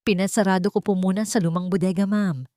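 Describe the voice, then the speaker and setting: A woman's voice: mid-range voice, fairly even intonation, fast, mellow, no room sound, clean studio sound, lightly acted. A woman with a Filipino accent voicing a scheming housemaid who acts distressed and deferential in a dramatic story. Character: scheming housemaid, falsely tearful and deferential to her mistress.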